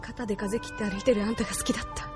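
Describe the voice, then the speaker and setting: A woman's voice: mid-range voice, fairly even intonation, racing, lightly acted. A woman acting a tough, loyal young female pilot in a radio drama, plain-spoken and tomboyish, with music behind her. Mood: quietly pleading.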